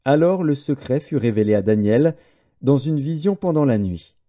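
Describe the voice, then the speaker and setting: A man narrating a scripture audiobook in a formal, measured storytelling manner. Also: medium pitch, expressive, mellow.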